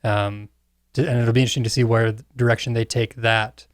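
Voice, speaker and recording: A man's voice: mid-range voice, flat delivery, quick pace, no room sound, clean studio sound. A middle-aged man talking casually as a co-host on a panel podcast.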